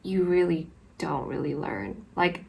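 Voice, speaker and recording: A woman's voice: sits low, expressive, a little slow, vocal fry, background noise. A young woman co-hosting a casual podcast chat. She talks emphatically, with many fillers. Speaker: podcast co-host.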